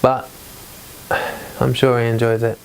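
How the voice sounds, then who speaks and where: A man hosting a daily Bible read-along, speaking in a measured, deliberate way. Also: mid-range voice, little pitch movement, vocal fry, a little hiss or hum, low-quality recording. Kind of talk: reading scripture aloud with casual chat.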